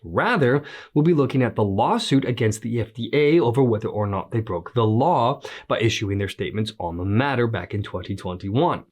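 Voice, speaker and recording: A man's voice: mid-range voice, lively intonation, moderate pace, few pauses. A man hosting an online news show, reading scripted commentary in a slightly crackly voice after the flu.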